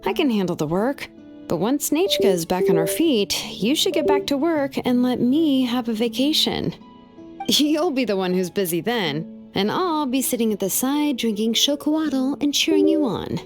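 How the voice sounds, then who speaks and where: Actress as young female artisan, slightly high pitch, easygoing, caring, casual game dialogue, light music behind. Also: expressive, moderate pace, close to an everyday voice. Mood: teasing. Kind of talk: video game voice acting.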